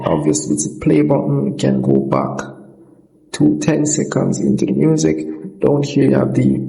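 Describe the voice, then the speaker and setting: A man's voice: medium pitch, creaky, mellow, low-quality recording. A man narrating an informal app tutorial as its host, explaining in a repetitive way and correcting himself.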